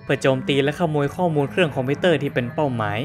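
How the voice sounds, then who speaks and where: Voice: medium pitch, racing, soft background music, lightly acted. A voice actor playing a smug, know-it-all teenage son in a fan-dubbed cartoon, lecturing and mocking. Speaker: son at the poker table. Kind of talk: Thai fan dub of a cartoon.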